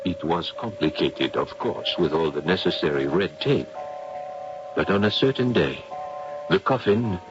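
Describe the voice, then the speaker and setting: Low-voiced, dramatic French professor. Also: male voice, a little slow, loud music behind the voice. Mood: solemn. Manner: florid, expressive. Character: dramatic Frenchman, gray-haired professor, the investigator's loyal companion. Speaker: actor. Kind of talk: old-time radio mystery acting. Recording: dull, noisy radio drama recording.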